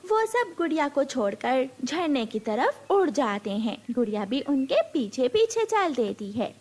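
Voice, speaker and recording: A woman narrates a scripted animal tale for children. Her voice is strikingly high and moves through a wide, animated range of pitch. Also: a little slow. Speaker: story narrator.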